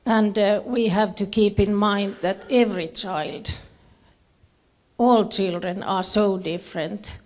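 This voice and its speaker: A woman with a Finnish accent giving a presentation in English as a second language, haltingly searching for her words. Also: medium pitch, steady tone. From Finland. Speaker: conference speaker, education official. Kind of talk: presentation to an audience with slides.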